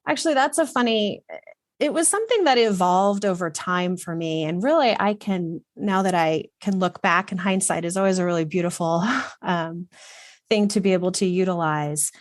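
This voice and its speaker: A woman's voice: lowish voice, normal speed, dry recording, studio quality. A middle-aged woman from Texas, a guest telling personal stories in an earnest, reflective, casual way. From Texas, USA. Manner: reflective and earnest, with some fillers. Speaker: guest, wardrobe consultant. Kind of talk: casual conversational storytelling.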